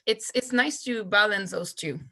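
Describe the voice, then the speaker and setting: A woman from France co-hosting a casual panel chat over a video call, talking in an expressive, animated way. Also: mid-range voice, moderate pace, vocal fry. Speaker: co-host.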